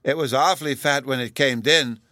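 A man's voice: medium pitch, moderate pace, bright, forceful tone, dry recording, pitched above the performer's own voice, lightly acted. A man voicing an earnest, stubborn small boy who talks in childish grammar with babyish mispronunciations.

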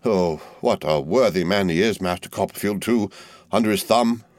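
A man's voice: lowish voice, moderate pace, no room sound, natural voice. A man reading aloud and voicing a fawning, falsely humble young male clerk with a wheedling, obsequious manner.

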